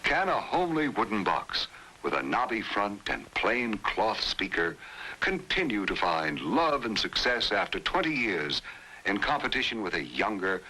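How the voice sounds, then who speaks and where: A man's voice: fairly low pitch, very animated, unhurried, sharp tone, slightly rough, low-quality recording. A man delivering scripted old-time radio narration in a grandiose announcer's manner.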